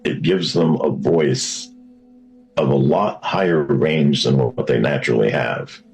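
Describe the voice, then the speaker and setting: Male monologue, very low creaky register, matter-of-fact delivery, faint music underneath. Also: measured pace, darkish tone, low-quality recording.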